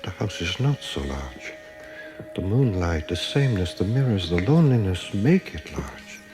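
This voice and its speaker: Radio drama detective: aging, stoic, probing, dryly formal, low pitch, music underneath. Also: male voice, big pitch swings, normal speed. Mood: grave. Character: aging, stoic male police detective, cornered but curious. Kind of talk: 1980s radio drama acting.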